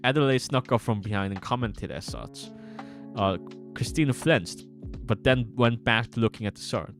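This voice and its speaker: A man's voice: medium pitch, lively intonation, normal speed. A man streaming, reading narration from their own visual novel aloud with casual asides. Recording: music bed behind voice. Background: guitar music.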